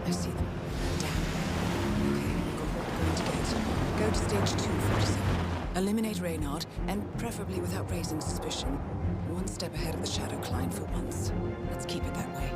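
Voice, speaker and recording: Casting: cool, wry female handler for a spy game, lower register, expressive, under loud score. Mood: focused, urgent. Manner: measured, dry, wry. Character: composed female handler of a professional assassin. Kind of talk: cinematic video game acting. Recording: loud background music.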